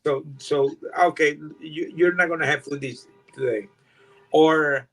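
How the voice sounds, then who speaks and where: An older Venezuelan man who grew up speaking Spanish, answering interview questions in English in a halting way, with many repeated words and restarts. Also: fairly high pitch, a bit bright, poor audio. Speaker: guest.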